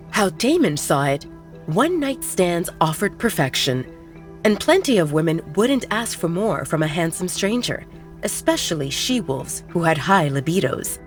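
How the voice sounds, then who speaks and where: A woman narrating a werewolf romance audio drama in a scripted storytelling style. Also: on the low side.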